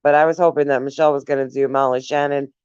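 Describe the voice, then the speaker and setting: A woman's voice: a bass voice, flat delivery, fast, darkish tone, clear, resonant tone, no echo, muffled recording. Woman, live stream host, casual chat, giggly, fond of viewers, dry close sound. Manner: giggly, laughs often between remarks; affectionate toward viewers.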